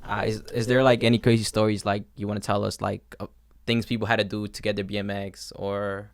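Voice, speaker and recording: A man's voice: fairly low pitch, little pitch movement, fairly quick, creaky, no room sound, clean studio sound. A man conducting a casual interview, asking rambling, informal questions. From has lived in a New York suburb.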